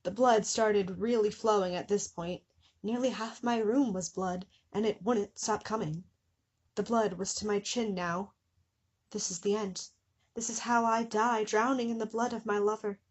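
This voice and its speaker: A woman's voice: medium pitch, fairly even intonation, a little slow. A woman hosting a comedy show, reading fan fiction dramatically with incredulous, giggly asides. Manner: breaks into giggles and incredulous commentary.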